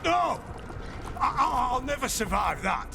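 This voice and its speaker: An actor playing a frightened, guilty village mayor in a dramatic video game scene, pleading and halting. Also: male voice, high-pitched, very animated, vocal fry, background noise, heavily acted. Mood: panicked, begging.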